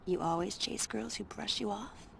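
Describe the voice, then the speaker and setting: Sultry, creaky-voiced cat burglar. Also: female voice, mid-range voice, a little hiss or hum. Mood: sultry, mocking. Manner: slow, purring, mocking. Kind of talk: video game voice acting. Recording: music behind the voice.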